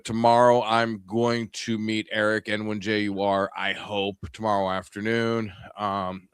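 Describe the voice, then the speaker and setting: This is a man with an upstate New York accent talking casually on a ham radio show. His voice sits fairly low, and he rambles along, stopping and restarting often. The sound is dry, with no room around it. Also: smooth, no room sound. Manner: rambling, with many ums and restarts. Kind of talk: casual chat.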